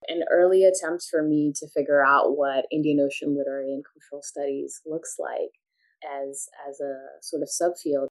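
Low-voiced woman academic in her thirties, from Pakistan; measured, articulate interview guest. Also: fairly even intonation, low-quality recording. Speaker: guest, English professor.

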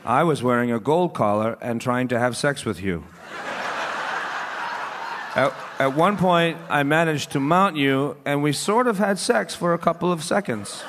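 A man's voice: a little high, lively intonation, a little slow, noisy background. A man performing a comic staged reading as a lovelorn, eager dog, earnest and matter-of-fact about absurd romantic hopes. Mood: earnest, hopeful.

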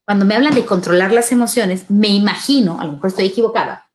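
A woman hosts a live parenting session, taking viewers' questions one by one. She talks to the parents directly and often checks in with "¿sale?". Her pitch sits in the middle for a woman. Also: fast, slight background noise. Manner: warm advisory tone, addresses parents directly, asks "¿sale?". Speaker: parenting show host answering questions. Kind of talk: live Q&A talk.

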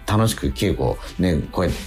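A middle-aged man chatting casually as a radio host, in a hesitant, halting and self-doubting way. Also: low-pitched, animated, faint music behind. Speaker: radio host and stage actor.

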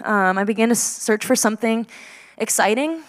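Young woman, youth leader, smooth voice, casual testimony with lots of fillers. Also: a little high, moderate pace. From Texas. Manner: chatty, self-deprecating, many fillers. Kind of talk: personal testimony to students.

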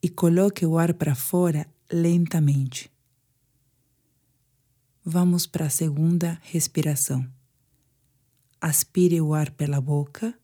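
A woman with a Brazilian Portuguese accent leads a guided meditation for sleep. Her voice is low and soft-toned, with a dark, relaxed color. She speaks very slowly and soothingly, leaving pauses between short phrases. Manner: slow, soothing, hushed delivery with pauses.

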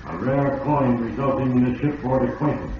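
An actor playing an all-knowing narrator of fate in an old radio drama, grave, deliberate and accusing. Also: male voice, medium pitch, lightly acted. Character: ominous all-knowing narrator addressing the wrongdoer. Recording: muffled, distant, echoing, noisy vintage radio recording.

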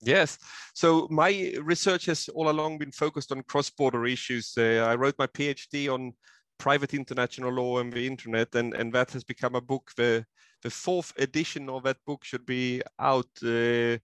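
A man's voice: mid-range voice, steady tone, a bit bright, clear, resonant tone, no echo. A middle-aged man from Sweden, a law professor explaining things carefully as a guest in an interview over a video call from his home office. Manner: measured, explanatory, occasional restarts.

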